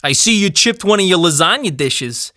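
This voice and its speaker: A woman's voice: on the low side, lively intonation, moderate pace, over music, natural voice. A woman voicing a slyly polite male devil who bargains like a salesman in a comic monologue. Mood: sly, offering.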